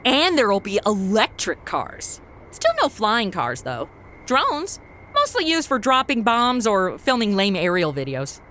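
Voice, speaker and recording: A woman's voice: medium pitch, wildly swooping pitch, unhurried, fairly bright tone, a little hiss or hum. An actress playing a breezy, irreverent modern woman with a flippant, slangy manner in a comedy audio drama.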